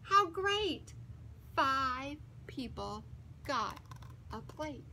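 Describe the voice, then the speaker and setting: A woman storyteller with a high, bright voice reads a picture book to young children. She takes her time, leaning on key words and pausing for dramatic effect. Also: big pitch swings, slight background noise. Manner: theatrical, emphatic, with dramatic pauses. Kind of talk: expressive read-aloud for young children.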